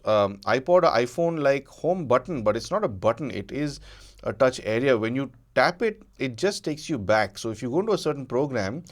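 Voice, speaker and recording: A man giving a conversational product review as a tech reviewer, measured and often pausing or repeating words as he goes. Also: medium pitch.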